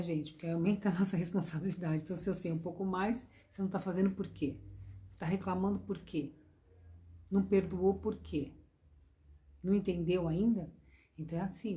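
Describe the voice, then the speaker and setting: A Brazilian-accented woman gives a gentle devotional lesson in a low, mellow voice that is a little hoarse. She repeats her points and keeps turning to her listeners with tender words of address. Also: steady tone, fairly quick. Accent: Brazilian Portuguese. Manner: gentle, repetitive, calls listeners "gente" and "meus amores". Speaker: spiritist course teacher. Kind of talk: devotional lesson to an online class.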